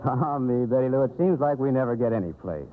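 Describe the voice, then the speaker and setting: A man's voice: mid-range voice, expressive, quick pace, background noise. A man playing the straight man in a 1930s radio comedy, explaining things with patient exasperation. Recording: tinny 1930s radio recording, music.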